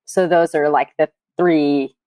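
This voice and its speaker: A woman's voice: deep voice, fairly even intonation, unhurried, warm tone, no echo, studio quality. A woman interview guest explaining things in a conversational way. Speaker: guest, sustainable fashion advocate.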